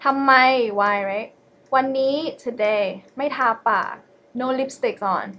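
A woman's voice: on the high side, very fast, pushed and bright. A young woman with a Thai accent, a Thai native speaker, casually teaching Thai on a livestream from a small bedroom, chatty with lots of fillers and restarts. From Thailand. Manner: chatty, laughs often, many fillers and restarts.

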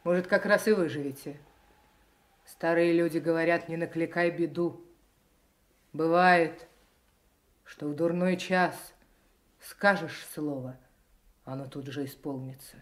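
A woman's voice: sits low, measured pace, long pauses. An actress playing a chatty older village woman in a radio drama, folksy and emphatic, by turns scolding and motherly. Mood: ominous.

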